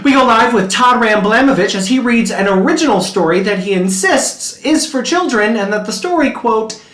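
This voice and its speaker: A woman's voice: on the high side, lively intonation, moderate pace, close to an everyday voice. A woman playing a mock-serious female anchor on a parody news show, in an announcer-like delivery. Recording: roomy sound with music behind.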